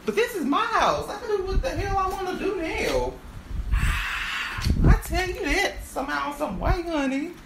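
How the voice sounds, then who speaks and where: A woman's voice: very high voice, big pitch swings, unhurried, creaky, heavy background noise, heavily acted. A woman performing a sassy, boastful divorced wife in an improvised stage monologue, stammering and repeating words as the character rambles. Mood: sassy, defiant. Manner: stammering, repeats words, sighs and laughs often.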